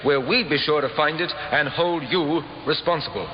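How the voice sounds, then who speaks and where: An actor playing a composed police inspector in a radio drama, questioning in a measured, dry and politely firm way. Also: male voice, fairly high pitch, natural voice. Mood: firm, confiding. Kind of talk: 1950s radio drama acting. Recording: dull, noisy, echoey old radio recording.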